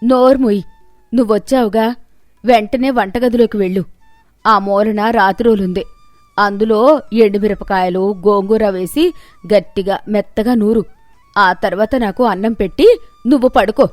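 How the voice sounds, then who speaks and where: A woman's voice: medium pitch, normal speed, pauses often, darkish tone, no echo, faint music behind, natural voice. A woman voicing a crafty old mother-in-law in a story, commanding and scolding. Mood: bossy.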